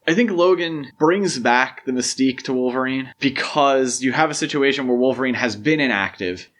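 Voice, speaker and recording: Casting: male podcast host, mid-pitched, casual and opinionated film talk, explains at length. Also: normal speed, a bit bright.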